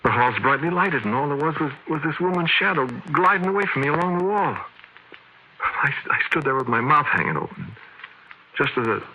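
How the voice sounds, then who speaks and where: An actor playing a shaken, haunted man who confides a ghostly encounter in halting, emphatic phrases, in the style of old-time radio horror. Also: fairly high pitch, animated, breathy edge, highs cut off. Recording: vintage radio recording.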